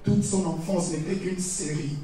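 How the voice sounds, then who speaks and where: A man performing slam poetry in a dramatic, solemn, declamatory way with deliberate pauses. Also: sits high, steady tone, warm tone, recorded from a distance. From Togo. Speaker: slam poet competing on stage. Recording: echoey stage recording from afar, music behind.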